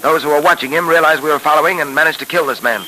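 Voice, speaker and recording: An actor in a 1930s radio serial playing a confident police detective hero with clipped, authoritative delivery. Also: male voice, fairly high pitch, very fast, pushed and bright, rough recording. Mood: grimly shrewd. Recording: hissy old 1930s radio recording.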